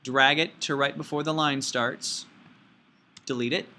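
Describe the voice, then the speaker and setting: Bright-voiced man teaching a tutorial. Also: mid-range voice, steady tone, measured pace, a little hiss or hum. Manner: folksy, playful asides and sound words. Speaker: teacher giving a software tutorial. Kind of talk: informal step-by-step screencast instruction.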